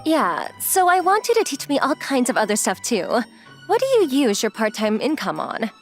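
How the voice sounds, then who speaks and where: Young female model, anime dub, played by a woman: breezy, teasing, forward, on the high side with big animated swoops. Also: moderate pace. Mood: playful, inquisitive. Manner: forward, playful, casually inquisitive. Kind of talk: anime-style dub acting. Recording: music behind the voice.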